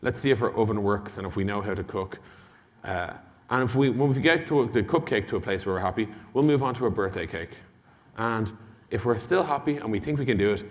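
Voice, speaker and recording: A man giving an informal product talk on a conference stage, casual and blunt, as an executive addressing a room. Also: lowish voice. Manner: casual, blunt, mildly sweary, uses acted-out dialogue. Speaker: conference speaker, tech company executive. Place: large indoor conference hall. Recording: long hall reverb from conference stage.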